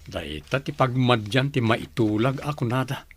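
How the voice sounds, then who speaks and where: A man's voice: mid-range voice, lively intonation, normal speed, slightly rough. Man playing an earnest Filipino family friend. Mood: concerned. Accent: northern Filipino. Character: concerned male family friend, earnest confidant. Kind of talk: radio drama acting.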